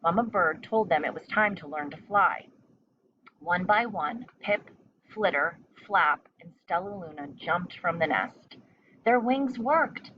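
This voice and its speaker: A woman's voice: on the low side, a little slow, recorded from a distance, lo-fi sound, slight background noise. A woman reading a children's story aloud as narrator. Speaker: storybook reader.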